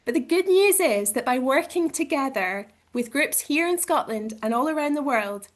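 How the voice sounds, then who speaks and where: A woman speaks over a video call with a croaky edge to her voice. She sits on the high side for a woman. Her prepared campaign talk comes across earnest and steady. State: croaky voice from illness. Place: at home, joining a meeting online.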